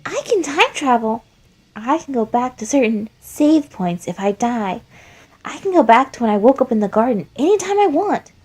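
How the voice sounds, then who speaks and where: Sinister child flower, played by a woman: high-pitched, taunting, gleefully cruel storybook villain. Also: very animated, moderate pace, a little mellow, slightly rough. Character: boyish sinister talking flower, son turned gleefully cruel. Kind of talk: fan fiction character reading.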